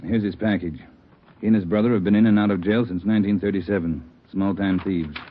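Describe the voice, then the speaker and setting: A man's voice: sits low, steady tone, fairly quick, a little hiss or hum, close to an everyday voice. Man acting a blunt police chief, tinny old radio. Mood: grave, urgent. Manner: blunt, urgent, economical. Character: grave, no-nonsense male chief of detectives briefing his men. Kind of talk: 1940s radio crime drama acting.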